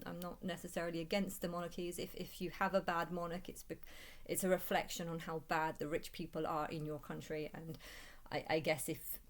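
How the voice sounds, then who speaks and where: A woman with a low-pitched voice talks through a rambling personal vlog. She speaks haltingly, repeating and restarting her phrases as she recounts things in the first person. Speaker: vlogger.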